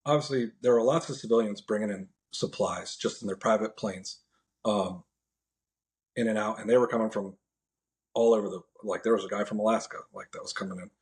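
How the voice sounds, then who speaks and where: An American man as a podcast guest, giving a casual, measured and somewhat halting first-hand account. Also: on the low side. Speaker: podcast guest, National Guard air traffic controller.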